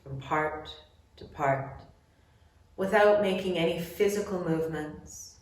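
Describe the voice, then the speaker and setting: A woman leading a guided relaxation meditation, giving gentle, measured instructions with long pauses between phrases. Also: fairly low pitch. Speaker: yoga nidra guide. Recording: very echoey room, distant mic.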